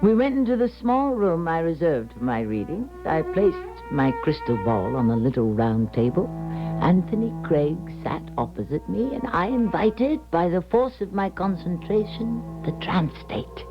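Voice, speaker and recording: A woman's voice: lowish voice, very animated, moderate pace, over music. An actress playing an intense clairvoyant who tells her tale formally and theatrically in a 1970s radio drama. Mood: grave, reflective. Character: Madam Sonia, intense female clairvoyant telling her own tale. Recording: dull 1970s radio broadcast recording.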